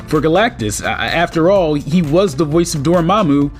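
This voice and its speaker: A man's voice: on the high side, lively intonation, normal speed, soft background music. A man hosting a scripted online entertainment video, addressing fellow fans informally and emphatically. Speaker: YouTube host.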